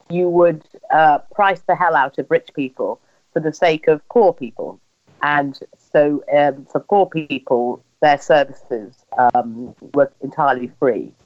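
A woman's voice: sits low, a little mellow. English woman storytelling, slightly dull recording. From England. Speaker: interviewee recounting family history. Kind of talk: personal storytelling in conversation.

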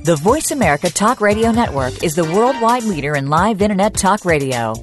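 A woman's voice: on the low side, very animated, a little fast. A woman reading a formal, scripted network announcement and disclaimer. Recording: announcement over clear music.

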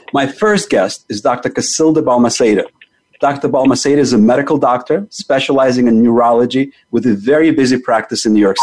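A man's voice: mid-range voice, darkish tone, slight background noise, poor audio. A man hosting a health talk show, reading a scripted introduction and stressing key numbers by repeating them. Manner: emphatic, repeats key figures and words for stress. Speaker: host.

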